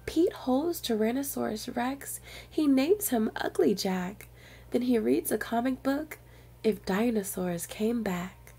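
A woman reading a picture book aloud to young children in an expressive storyteller's manner. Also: on the high side, takes it slowly, creaky, slightly rough.

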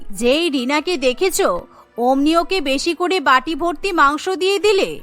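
A woman's voice: sits high, sharp tone, pitched below the performer's own voice. A woman voicing a crafty young daughter-in-law in a cartoon, speaking in a pointed, emphatic way. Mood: angry scolding. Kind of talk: cartoon character acting.

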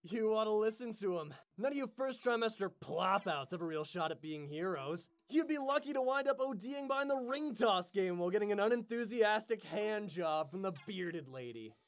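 Performer as cocky angry teen boy bully, raspy creak, animated scornful ranting, comedic anime dub. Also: medium pitch, normal speed, few pauses, close to an everyday voice. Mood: scornful boasting. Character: arrogant, hot-tempered, foul-mouthed teenage boy bully.

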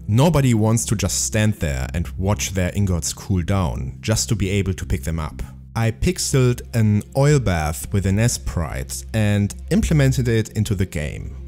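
A man narrating his game development log in a calm, methodical, explanatory way. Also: on the low side, measured pace, faint music behind. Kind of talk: scripted devlog narration.

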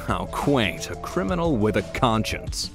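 A man's voice: fairly low pitch, big pitch swings, measured pace, faint music behind. A man playing a sly, smug arsonist villain who taunts theatrically in an audio drama. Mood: taunting. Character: sly, mocking male arsonist villain relishing destruction.

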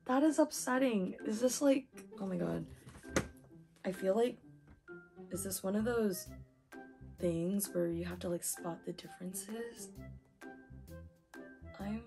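A young woman with a hoarse voice from talking too much, chatting excitedly while unboxing manga. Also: mid-range voice. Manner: chatty, excitable, lots of fillers. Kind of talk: casual unboxing chat.

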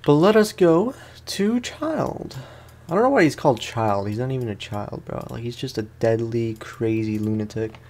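A man's voice: medium pitch, animated, normal speed, darkish tone. A man giving casual let's play commentary on a video game, chatting to viewers in slangy language. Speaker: gaming YouTuber.

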